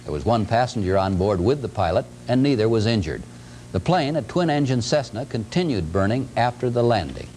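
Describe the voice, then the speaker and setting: A man's voice: medium pitch, very animated, a little fast, darkish tone. An American man reading scripted news as a television anchor. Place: TV studio. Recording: noisy, humming 1970s TV broadcast tape.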